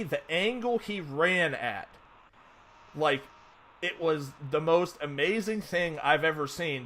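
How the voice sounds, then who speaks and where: A man's voice: fairly high pitch, pushed and bright, slight background noise. A man hosting a casual fantasy football podcast, rambling with lots of fillers and restarts. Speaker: podcast host. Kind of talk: casual sports podcast chat.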